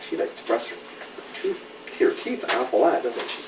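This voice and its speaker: A middle-aged man reading a children's story aloud in an animated way, with conversational questions to a young listener. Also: mid-range voice, moderate pace. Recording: roomy, distant home recording with noise.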